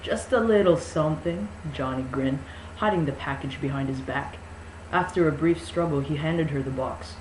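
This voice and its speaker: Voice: medium pitch, steady tone, moderate pace. A narrator giving a mock-dramatic amateur reading of a novel, with casual introductory talk. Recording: echoey room with faint noise.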